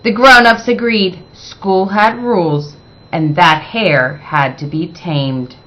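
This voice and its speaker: A woman reading a children's picture book aloud as an expressive storyteller, with deliberate, dramatic emphasis. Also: on the low side, low-quality recording.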